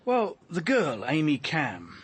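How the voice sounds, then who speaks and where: An actor playing a dogged police inspector with a British accent, blunt and argumentative in a radio drama. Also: male voice, on the high side, wildly swooping pitch, slow, breathy edge, full character voice. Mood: insistent.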